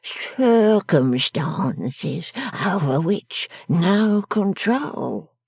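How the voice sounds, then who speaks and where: Storybook role: abject old drunken father, acted by a woman, husky, dragging, pitiful whimper, forever pleading. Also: fairly low pitch, lively intonation, very slow, darkish tone, no room sound, clean studio sound, voice pushed up, full character voice. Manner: whimpering, slurred drawl, pleading. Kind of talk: storybook character acting.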